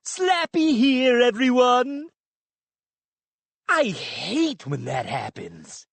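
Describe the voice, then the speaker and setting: Woman doing a cackling male dummy, gloating, jokey, wildly swooping pitch, slow drawl, dry close sound. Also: very animated, gravelly, dry recording, heavily acted. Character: mocking male ventriloquist dummy, wisecracking host. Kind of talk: audiobook character voice.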